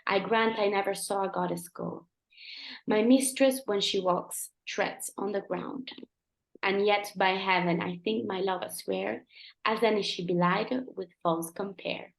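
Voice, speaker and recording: A woman's voice: medium pitch, steady tone, measured pace, gravelly, roomy sound, rough recording. A teenage woman co-hosting a podcast, chatting casually with frequent "like" and "um", and reading a sonnet aloud. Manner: uses "like" and "um" often. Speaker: podcast co-host. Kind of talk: casual chat and poetry reading.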